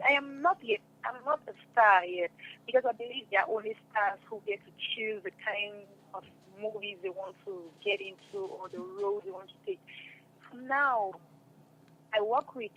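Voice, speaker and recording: Bright-voiced young woman, noisy recording. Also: fairly high pitch, muffled recording. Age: young adult. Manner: emphatic, repeats phrases for emphasis. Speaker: guest, stage and radio drama actress. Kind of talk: casual interview answers. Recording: noticeable background noise.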